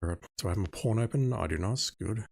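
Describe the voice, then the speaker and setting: A man's voice: on the low side, fast, gravelly, a little mellow, breathy edge. A man whose first language is Russian, casually talking through a video game as he plays, musing and chatty, with game music behind him. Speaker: streamer. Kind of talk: casual gameplay commentary.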